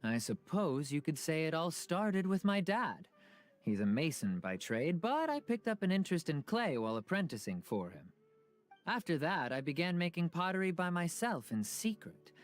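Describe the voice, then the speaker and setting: A friendly, eager shopkeeper for a video game. The voice sits a little low and swings in a lively, personable way, with faint music behind. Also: female voice, normal speed. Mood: reflective. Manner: animated, personable. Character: friendly, eager pottery merchant. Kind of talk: video game character acting.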